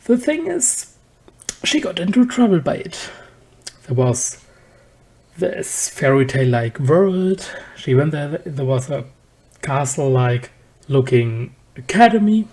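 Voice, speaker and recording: Slow-paced, dark-toned anime reviewer. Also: medium pitch, sing-song. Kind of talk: casual episode review.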